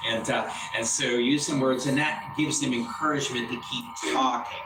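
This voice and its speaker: A man's voice: medium pitch, steady tone, moderate pace. Man teaching a class, echoey and distant. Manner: repetitive, drills students with call-and-response. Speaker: English teacher. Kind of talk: classroom lesson. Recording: echoey classroom from far off, noisy.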